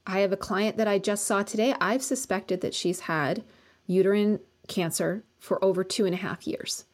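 A woman's voice: medium pitch, darkish tone. A woman acupuncturist who practices in Colorado, a guest speaking earnestly in long run-on stretches in a casual professional conversation.

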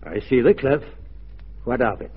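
An actor playing a grumbling, skeptical frontier sidekick in a radio drama, querulous and doubtful. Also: male voice, medium pitch, animated, normal speed, slightly rough, narrow, tinny audio. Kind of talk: 1940s radio drama acting. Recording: noisy, thin 1940s radio recording.

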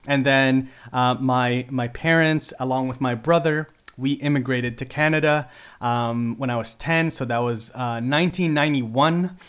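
Filipino-born man in his forties, mid-pitched, chatty vlogger, rambling and upbeat. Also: unhurried, a bit bright, smooth. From Philippines. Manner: rambling, lots of fillers and restarts; enthusiastic, proud. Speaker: content creator. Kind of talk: casual talk to camera.

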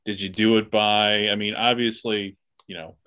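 A man hosting a podcast interview in a casual, halting way, thinking aloud as he talks. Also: on the low side, little pitch movement, bright, forceful tone. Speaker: podcast host interviewing guests.

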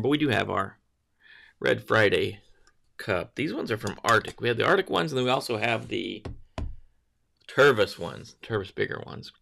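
A man with a gravelly, fry-edged voice chats loosely through a morning livestream. He greets viewers one by one, wanders between topics and corrects himself as he goes. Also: mid-range voice, a bit bright. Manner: rambling, greets viewers by name, self-correcting. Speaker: livestream host. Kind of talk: casual morning livestream chat.

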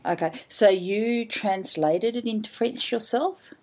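An Australian woman hosting a podcast, chatting with a guest in a lively, enthusiastic way. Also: mid-range voice, measured pace. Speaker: podcast host. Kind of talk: casual interview chat.

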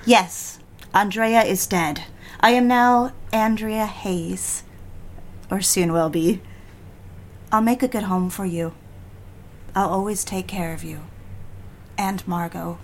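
An actress playing a devoted, anxious young bride-to-be in a period audio drama, speaking earnestly and properly. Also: female voice, medium pitch, steady tone, takes it slowly, long pauses.

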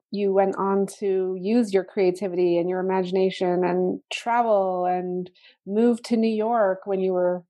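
A woman raised in England hosts an interview, speaking at a mid pitch. She asks her questions gently and thoughtfully. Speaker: podcast host. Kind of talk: interviewing a guest.

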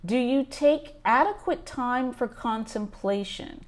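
A woman's voice: fairly high pitch, slow. A woman hosting a book club podcast, talking through a list in a casual, enthusiastic way with frequent ums.

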